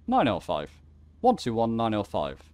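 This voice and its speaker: A man's voice: lowish voice, wildly swooping pitch, normal speed, darkish tone, dry recording. A man giving a casual tutorial, talking informally, thinking aloud and correcting himself as he goes. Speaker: flight simulator tutorial host. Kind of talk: casual instructional screen-share commentary.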